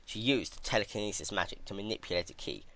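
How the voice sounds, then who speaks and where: A man giving a dramatic scripted narration of a dark fantasy story. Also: on the low side, quick pace.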